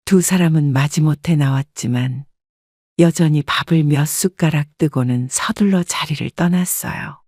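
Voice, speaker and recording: A woman calmly narrating a family story in the first person as a scripted audiobook. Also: deep voice, moderate pace, no echo, studio quality. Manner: calm, even storytelling.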